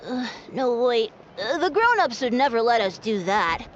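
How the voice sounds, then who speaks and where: A woman's voice: on the high side, moderate pace, muffled recording, slight background noise. A woman voicing a spirited, confident village child in a video game. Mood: impatient. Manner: eager, animated, childlike. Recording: dulled game audio with music. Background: game music.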